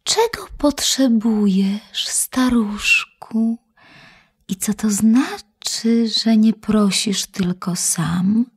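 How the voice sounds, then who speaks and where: An actress voicing a small, polite magical golden fish with a thin, whispering voice. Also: female voice, a little high, animated, drawn-out words, breathy edge, full character voice. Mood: gentle.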